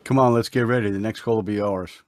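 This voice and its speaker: A man playing a steady, solemn, dutiful ambulance partner in an old-time radio drama, speaking gravely and insistently. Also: fairly low pitch, fast talker, natural voice.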